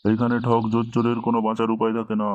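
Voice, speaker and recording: A man's voice: mid-range voice, completely flat delivery, racing, darkish tone, dry recording, natural voice. A man voicing a domineering, scolding village tantric holy man in a Bengali comedy cartoon, with a preachy manner. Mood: stern, knowing. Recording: dull lo-fi, very dry cartoon dub.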